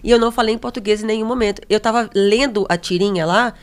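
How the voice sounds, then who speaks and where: A woman's voice: mid-range voice, quick pace. A woman who works as a flight attendant, chatting on a podcast and telling stories with many restarts. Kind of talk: casual podcast conversation.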